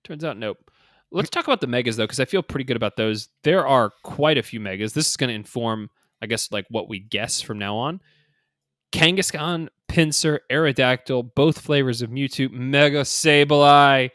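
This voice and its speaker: Guy cohosting a gaming podcast, medium pitch, chatty and casual, lots of likes, really dry sound. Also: normal speed, fairly bright tone, dry recording. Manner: conversational, full of 'like' and 'you know'. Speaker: podcast co-host.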